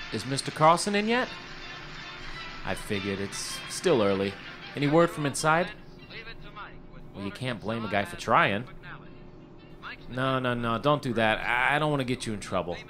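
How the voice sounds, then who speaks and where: Man acting an animated, eager radio-drama striver. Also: medium pitch, normal speed, pauses often, over music, close to an everyday voice. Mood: cajoling. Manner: wisecracking, pleading, stammering when flustered. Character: eager, fast-talking struggling screenwriter working a hotel desk. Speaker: actor in an audio drama.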